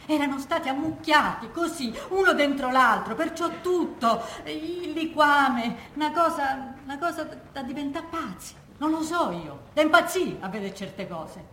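An actress playing a haunted working-class widow with a Roman accent, colloquial and emphatic in a theatrical testimony. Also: female voice, high voice, expressive, moderate pace, hardly any pauses, pushed and bright, heavily acted. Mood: horrified, distraught. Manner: colloquial, emphatic, rhetorical questions. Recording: echoey room with background noise.

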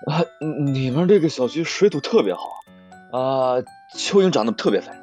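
A man's voice: medium pitch, very animated, moderate pace, darkish tone, muffled recording, faint music behind, lightly acted. A man playing a flustered, blustering young man who stammers out lame excuses in an audio drama. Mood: evasive, flustered. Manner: exclamatory, stammering, colloquial. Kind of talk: live audio drama acting.

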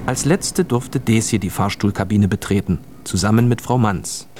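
Low-voiced male storytelling narrator. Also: moderate pace, very noisy. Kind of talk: scripted story narration for a children's radio play.

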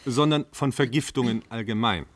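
An actor plays a male government spokesman in a radio drama. He is bureaucratic and measured, always appeasing and evading on a panel. The sound is dry and close, with a medium-pitched voice. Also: dry recording, close to an everyday voice. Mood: evasive, measured. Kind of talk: radio play acting as a panel discussion guest.

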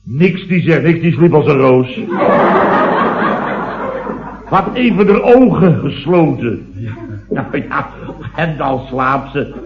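A man's voice: a little high, expressive, takes it slowly, pauses often, crowd noise. An actor playing a flustered, long-winded married man rambling emphatically in a 1970s radio comedy sketch. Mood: self-important reminiscing. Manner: rambling, emphatic, peppered with tag questions. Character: married man, flustered long-winded comic storyteller complaining about wife. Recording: dull old radio recording, roomy, audience laughter.

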